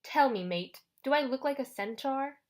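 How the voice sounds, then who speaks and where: Woman playing a smooth, condescending British ferryman of the dead. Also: high-pitched, animated, normal speed, pitched above the performer's own voice. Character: tall elegant sinister male ferryman of the dead, condescending.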